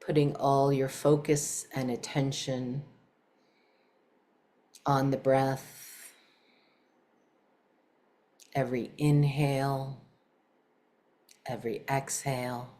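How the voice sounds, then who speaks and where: Very low-voiced woman guiding meditation slowly. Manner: calm, unhurried, soft-spoken with long pauses. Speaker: guided meditation teacher. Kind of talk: guided meditation.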